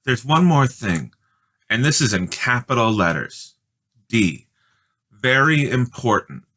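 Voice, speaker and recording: A man voicing a bossy, determined young girl, an older sister in a storybook, with emphatic, deliberate delivery. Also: mid-range voice, very animated, pushed and bright, pitched above the performer's own voice, full character voice. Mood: bossy, instructive.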